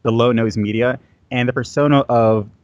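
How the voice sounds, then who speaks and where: A man's voice: mid-range voice, fairly even intonation, normal speed, no echo, muffled recording. A man talking as a guest in a casual interview, rambling and repeating his words with many fillers. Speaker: guest content creator.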